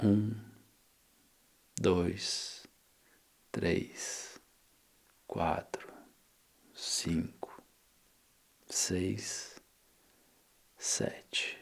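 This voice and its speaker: A Brazilian man narrating an ASMR guided visualization, calm and instructing, with long pauses between phrases. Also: fairly low pitch. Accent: Brazilian Portuguese. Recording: long reverberant hall-like echo.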